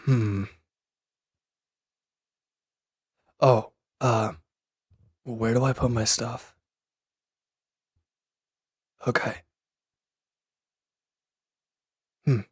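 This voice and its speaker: A man playing a wounded, proud villain in an intimate audio drama, sullen and guarded, curt and halting in a breathy murmur. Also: mid-range voice, little pitch movement, dry recording, studio quality. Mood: indifferent, reserved.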